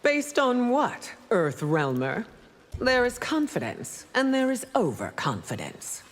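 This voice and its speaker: A woman's voice: a little high, wildly swooping pitch, takes it slowly, exaggerated voice. A woman playing a regal, long-lived warrior empress, stately and disdainful in fighting-game dialogue. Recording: long reverberant hall echo.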